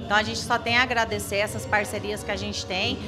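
A woman's voice: medium pitch, fairly even intonation, fast. A Brazilian woman in her thirties answering a TV interview into a handheld microphone indoors. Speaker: social assistance centre staffer. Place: small indoor room. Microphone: handheld reporter microphone. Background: music.

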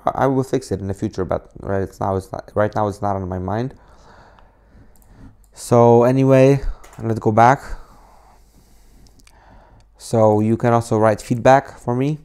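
A young man from Poland, speaking English as a second language, talks through a coding tutorial in a casual, rambling way. His voice is lowish, warm and smooth, with frequent restarts and fillers, captured on an inexpensive desk mic. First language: Polish. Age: 20s. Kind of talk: casual screen-recorded tutorial talk. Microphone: cheap desk microphone on a laptop.

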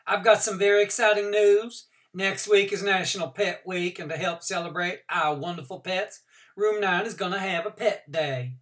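A woman voicing a brisk, firm but kind female schoolteacher making clear classroom announcements. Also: lowish voice, pitched above the performer's own voice, lightly acted. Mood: announcing.

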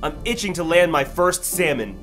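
Young man narrating over loud music. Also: on the high side, normal speed. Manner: excited, earnest storytelling. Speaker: vlog narrator and angler. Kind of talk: voice-over narration of a fishing trip.

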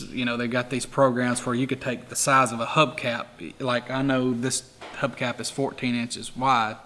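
Man in his forties, bright voice, laid-back rambling talk, faint room reverb. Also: medium pitch, slight background noise. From Tennessee. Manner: relaxed, rambling, many "you know" fillers. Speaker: car museum owner. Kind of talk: documentary interview. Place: garage workshop full of memorabilia.

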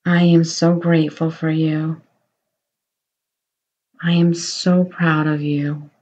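A woman guiding a meditation in a slow, soothing voice, offering gentle reassurance. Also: deep voice, little pitch movement, highs cut off. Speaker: meditation guide. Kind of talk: guided meditation.

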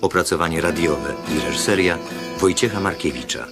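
A man working as a radio announcer, reading scripted credits formally and deliberately. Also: low-pitched, over music.

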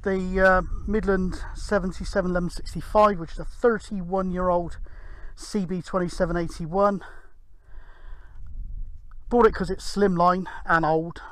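A man's voice: sits high, flat delivery, measured pace, fairly bright tone. A middle-aged British man giving casual, rambling, matter-of-fact narration for an outdoor vlog. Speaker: amateur CB radio vlogger. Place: open moorland hilltop, outdoors. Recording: windy outdoor handheld camera recording. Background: wind and outdoor ambience.

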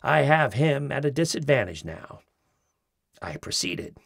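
Guy reading a funny essay, raspy voice, bouncy pitch, does little bits. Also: very animated, measured pace, no echo. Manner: mimics accents in quoted phrases, dry wit. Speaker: audiobook narrator. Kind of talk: expressive reading of a humorous essay. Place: studio. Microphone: close studio mic.

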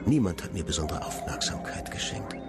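Very deep, scheming scientist. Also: male voice, big pitch swings. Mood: cold, triumphant. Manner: measured, calculating monologue. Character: cold, scheming male scientist in a new genetic body. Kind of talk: radio drama inner monologue. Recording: voice over loud music.

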